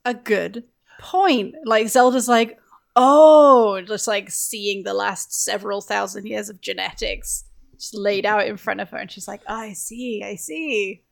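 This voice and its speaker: A woman's voice: mid-range voice, fairly even intonation, measured pace, creaky. A woman chatting casually on a podcast about a video game, musing in a playful, jokey way. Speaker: podcast guest.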